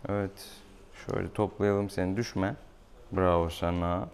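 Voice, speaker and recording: Young man, deepish gravelly voice, casual vet talk, playful pet coaxing, small exam room. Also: steady tone, measured pace. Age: young adult. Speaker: veterinarian. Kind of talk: casual chat while treating an animal. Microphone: camera a few steps away. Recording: noisy room, camera mic at distance.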